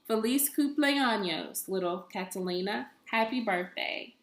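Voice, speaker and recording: A woman's voice: mid-range voice, expressive, a little slow, echoey room. A woman voicing a kindly, forgetful storybook grandmother who exclaims and muses aloud to herself. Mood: fond, contented.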